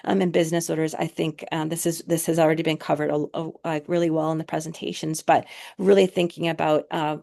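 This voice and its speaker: A woman presenting slides on a video call, hoarse from a cold, hesitant and full of fillers and restarts. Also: deep voice, level tone, quick pace, dark tone. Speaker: webinar presenter.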